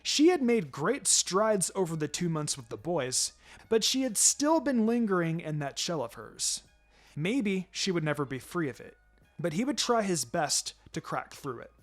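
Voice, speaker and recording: A man's voice: sits high, very animated, measured pace. A man reading fan fiction aloud as a narrator, in a dramatic scripted storytelling style. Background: music.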